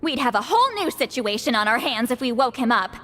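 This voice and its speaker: A woman's voice: on the high side, sing-song, a little fast, a bit bright, in a large hall. A woman voicing a proud, offhand young sorceress who talks in a breezy, matter-of-fact way, in video game dialogue.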